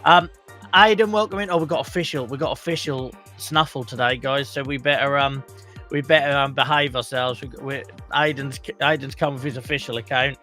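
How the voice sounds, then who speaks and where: A croaky man hosting a casual livestream talk show, chatting and greeting viewers by name. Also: mid-range voice, fairly even intonation, a little fast, bright, forceful tone, soft background music.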